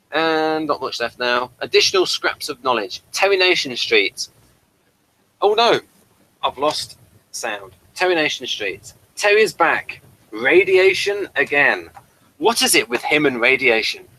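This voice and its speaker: Male review host, bright forward voice, reads guide entries aloud with chatty asides. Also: medium pitch, low-quality recording. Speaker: host of a TV episode review show. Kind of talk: reading aloud from an episode guide with off-the-cuff asides.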